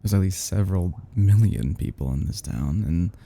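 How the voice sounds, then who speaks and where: Guy doing a obsessive yandere boyfriend asmr, low creaky voice, halting and breathy, clingy and tender, bit of background noise. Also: mellow. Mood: regretful, aching. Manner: halting, stammering, breathy half-whispers, sighing. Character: obsessive lovesick young male yandere kidnapper, tender and fragile. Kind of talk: roleplay audio drama, ASMR.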